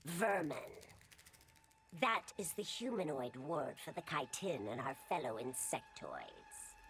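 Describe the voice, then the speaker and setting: An actress playing a proud, bitter insectoid creature, stilted and menacing, in a video game monologue. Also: female voice, low voice, big pitch swings, slow, a bit bright, full character voice. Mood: scornful. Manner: stilted, formal, disdainful. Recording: music under the voice.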